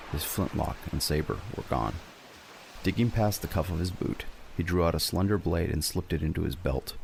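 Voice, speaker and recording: A man's voice: low-pitched, vocal fry, a little mellow, a little hiss or hum. A man narrating a dramatic scripted adventure story as a storyteller.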